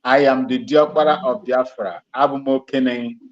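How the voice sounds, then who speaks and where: Man, halting speech, restarts, laughs between phrases, middle pitch, dull lo-fi call audio. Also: steady tone. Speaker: guest on a live stream. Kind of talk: casual live call-in talk. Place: Ghana.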